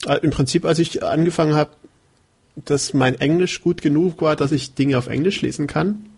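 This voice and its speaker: Podcast guy rambling with lots of uhs, husky and kinda gravelly. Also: fairly high pitch, moderate pace, a little mellow. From southwest Germany. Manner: hesitant, many filler sounds, chuckling. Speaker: podcast co-host. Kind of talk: casual chat.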